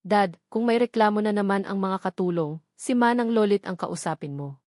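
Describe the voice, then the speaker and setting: A woman's voice: mid-range voice, normal speed, darkish tone, dry recording, natural voice. A woman plays an arrogant, hot-headed young heir in an audio romance drama. The spoiled son is scornful and defiant, heard in a dry, close sound. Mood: annoyed.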